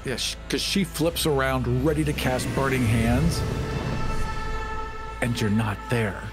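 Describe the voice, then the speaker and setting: A man's voice: mid-range voice, unhurried. A man chatting casually as a player in a tabletop role-playing game, describing what his character does. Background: music under the voice.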